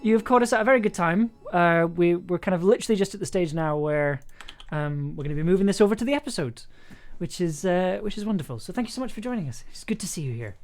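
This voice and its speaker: A streamer chatting casually while working, thinking aloud in a hesitant way and trailing off, with a medium-pitched voice. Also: animated, quick pace, few pauses. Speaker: streamer, audio drama producer and composer. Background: music playing, keyboard typing.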